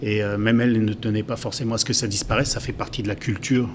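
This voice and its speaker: A man's voice: fairly low pitch, flat delivery, fast talker, slight background noise. A man from France with a French accent, a guest on a chat show who talks with many fillers and restarts. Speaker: magazine publisher guest. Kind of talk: casual chat.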